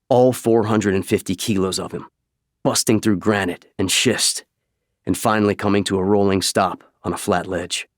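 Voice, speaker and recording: Low voiced guy narrating a scifi audiobook, measured and dramatic, really dry sound. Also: no room sound.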